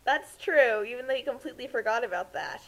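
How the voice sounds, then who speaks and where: A woman's voice: a little high, lively intonation, fast, a bit bright. A woman giving casual, joking commentary as a co-commentator.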